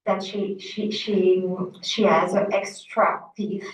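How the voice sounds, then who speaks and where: A Belgian woman, native in French, haltingly asking a question in accented English, searching for words. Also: mid-range voice, fairly even intonation. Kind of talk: spontaneous question to a panel. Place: indoor conference room. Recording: echoey, distant, muffled conference room audio.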